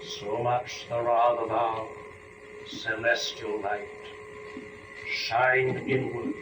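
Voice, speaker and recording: A man giving a dramatic recitation of classical epic verse in a solemn, measured, declamatory way. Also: mid-range voice, level tone, over music. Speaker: dramatic reader of epic poetry. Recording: roomy, distant, faintly noisy recording.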